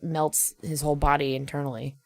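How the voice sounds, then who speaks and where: A woman co-hosting a podcast, pitching ideas in a casual, blunt, matter-of-fact way. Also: flat delivery, fairly quick, no room sound. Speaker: podcast co-host. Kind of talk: casual improvised brainstorming chat.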